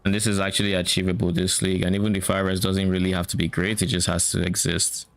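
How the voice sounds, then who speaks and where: Casting: man from Nigeria, Nigerian-Canadian accent, lowish resonant voice, musing live streamer. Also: monotone, quick pace, gravelly. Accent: mix of Nigerian and Canadian. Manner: thinking aloud, musing and self-questioning. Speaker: game streamer. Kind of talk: live stream, casual chat while planning a game build.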